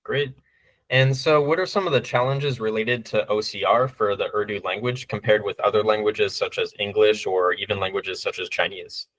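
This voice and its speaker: A man's voice: fairly low pitch, fairly even intonation, normal speed, fairly bright tone, poor audio. A man hosting a podcast and putting interview questions to his guest.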